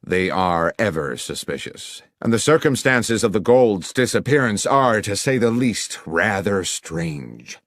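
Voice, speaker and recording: Man playing middle-aged gentleman client, formal, verbose, self-amused, low swooping voice, audiobook dialogue. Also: lively intonation, measured pace, hardly any pauses, dry recording. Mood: grave, wry. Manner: formal, long-winded, jovially self-amused. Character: anxious middle-aged businessman client, verbose, pun-loving.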